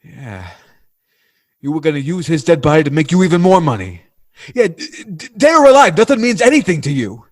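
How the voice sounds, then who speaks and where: An actor playing an earnest, plain-spoken working-class man in 1940s radio drama style, with a North American accent and halting, slangy speech. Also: on the high side, sing-song, no room sound, studio quality. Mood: bitter accusing. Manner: halting, groping for words, slangy American everyman.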